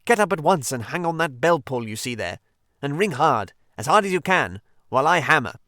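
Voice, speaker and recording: A man reading a storybook and voicing a quick, sharp-tongued male water rat who talks in a sarcastic, bossy way. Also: high-pitched, big pitch swings, no echo, clean studio sound, voice pushed up.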